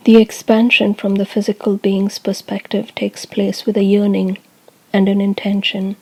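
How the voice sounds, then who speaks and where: A woman reads a scripted spiritual message in a formal, solemn way. Her pitch stays extremely flat and steady from phrase to phrase, with measured delivery. The sound is dry and close, with very little room around it. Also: husky, no room sound, poor audio. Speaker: channeler speaking as a group of light beings. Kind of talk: scripted spiritual discourse for guided meditation.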